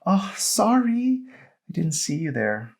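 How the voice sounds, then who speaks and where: Man voicing a haughty teen girl, pitched way up. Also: sing-song, slow pace, heavily acted. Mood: dismissive. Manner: breezy, dismissive, coy.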